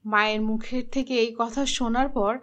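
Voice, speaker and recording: A woman's voice: a little high, steady tone, normal speed, fairly bright tone. A woman giving scripted fairy-tale narration for a cartoon. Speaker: story narrator.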